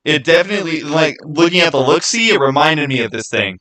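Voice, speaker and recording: A man's voice: fairly high pitch, animated, fairly quick, pushed and bright. A man hosting a livestream, chatting casually and answering viewers in a rambling, conversational way. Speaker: livestream host.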